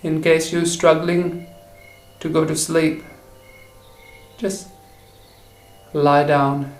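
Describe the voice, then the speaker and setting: Slow, echoey male meditation guide. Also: a little high, little pitch movement. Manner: slow, halting delivery with short phrases. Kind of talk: guided meditation for relaxation and sleep. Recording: roomy echo with faint insect noise.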